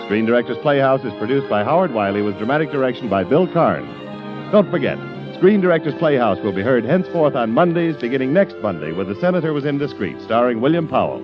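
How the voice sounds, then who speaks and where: A man's voice: mid-range voice, sing-song, quick pace, over music. A man working as the announcer of a 1940s radio broadcast, giving a formal, polished sponsor read. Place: radio studio.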